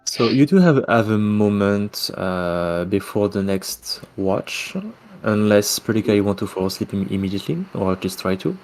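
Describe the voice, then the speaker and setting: A man acting as game master, halting and restarting as he guides an online roleplay. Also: on the low side, darkish tone. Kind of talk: running an online roleplay session.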